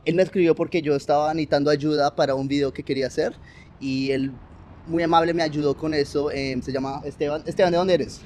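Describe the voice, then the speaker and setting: A man hosting a casual podcast interview, talking in an upbeat, chatty way and agreeing quickly with his guest. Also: a little high, steady tone, a little mellow, a little hiss or hum. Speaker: podcast host.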